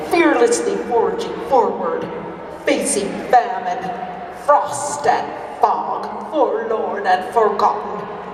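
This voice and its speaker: A woman playing a bumbling, lovesick male Mountie sergeant, declaiming grandly in live melodrama theater. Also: mid-range voice. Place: live amateur stage performance at fair. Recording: echoey hall from far off, noisy.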